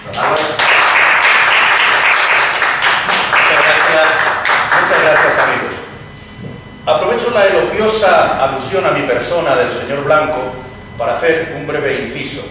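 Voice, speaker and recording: A man playing an eloquent, earnest Spanish liberal gentleman in a dramatized reading, measured and courteous. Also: a little high, crowd noise, close to an everyday voice. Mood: gracious. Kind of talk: dramatized stage reading, radio theatre. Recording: echoey hall from far off, noisy.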